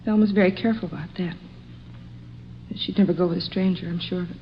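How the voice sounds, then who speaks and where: A woman's voice: on the low side, steady tone, quick pace, slight background noise, close to an everyday voice. Actress as an anxious mother on muffled old radio. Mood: worried. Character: distraught mother of a missing girl, anxious, fretful. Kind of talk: 1950s radio drama acting.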